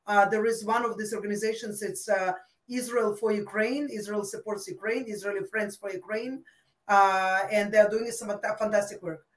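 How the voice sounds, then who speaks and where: A woman's voice: medium pitch, steady tone, normal speed. A woman from Russia answering interview questions in a thoughtful, hesitant way, with many fillers. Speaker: interview guest, expert. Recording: roomy, distant from mic.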